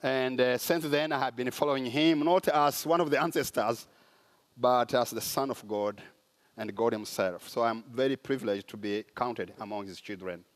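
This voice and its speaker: A man from Rwanda with a Rwandan accent, giving a conference talk in a sermon style with frequent pauses and fillers. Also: mid-range voice. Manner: halting, with many "uh" pauses. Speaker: conference speaker. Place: large conference hall.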